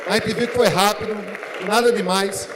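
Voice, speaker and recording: Man, Pernambuco accent, high voice, joking chatty moderator, reverberant hall. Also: fairly even intonation, moderate pace, crowd noise. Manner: joking, chatty, regional slang. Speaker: debate moderator. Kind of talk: casual event moderation. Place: large conference hall. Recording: reverberant hall with audience noise.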